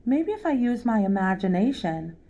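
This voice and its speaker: A woman's voice: mid-range voice, very animated, normal speed, dark tone. Woman reading as earnest young boy, dull recording. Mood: curious. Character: Neil, curious imaginative young boy, eager science student. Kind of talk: children's storybook read-aloud character.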